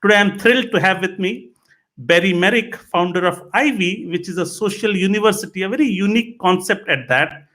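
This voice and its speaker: A man hosting a live interview podcast, speaking in a halting way and repeating his phrases. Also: sits high, fairly bright tone. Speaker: podcast host.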